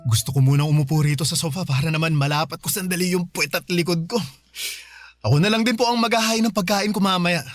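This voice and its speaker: Actor as dutiful Filipino son, slightly high voice. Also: male voice, quick pace, no echo, clean studio sound, natural voice. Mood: affectionate, casual. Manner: respectful, caring, casual. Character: hardworking, dutiful, affectionate 35-year-old son. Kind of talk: radio drama acting.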